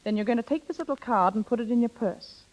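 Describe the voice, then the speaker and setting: An actress playing a scheming, playful older sister in brisk, knowing 1930s radio drama style. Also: female voice, on the high side, darkish tone, close to an everyday voice, low-quality recording. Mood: coaxing, scheming. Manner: brisk, knowing, theatrical, coaxing. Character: bossy, scheming, playful older sister coaching younger one. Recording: vintage 1930s radio broadcast.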